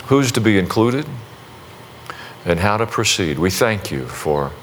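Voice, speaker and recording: Folksy pastor with restarts. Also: male voice, on the low side, big pitch swings, measured pace, a little hiss or hum. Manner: conversational, folksy, self-deprecating with many restarts. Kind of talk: sermon and prayer to a congregation.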